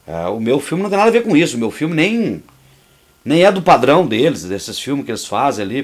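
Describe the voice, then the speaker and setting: A man, a filmmaker and actor, giving outspoken and opinionated commentary on how his film was made, talking freely with restarts. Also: medium pitch. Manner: restarts and repeats words while talking freely. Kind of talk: commentary over a making-of.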